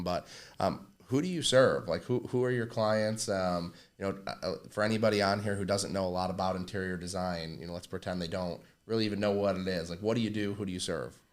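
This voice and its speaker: A man co-hosting a casual interview, asking loose questions full of fillers. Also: fairly low pitch. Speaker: co-host.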